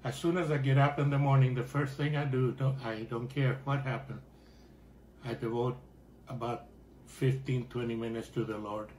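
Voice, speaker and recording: A man's voice: mid-range voice, steady tone, a little hiss or hum, poor audio. Older man with a Chilean Spanish accent. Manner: warm, halting, many fillers and restarts. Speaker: interviewee. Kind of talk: casual conversation. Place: living room at home, indoors.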